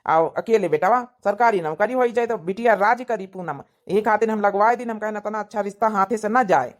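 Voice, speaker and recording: A woman's voice: medium pitch, a little fast, natural voice. A woman voicing a chatty middle-aged village matchmaker uncle in a cartoon comedy, rambling persuasively with an Awadhi accent.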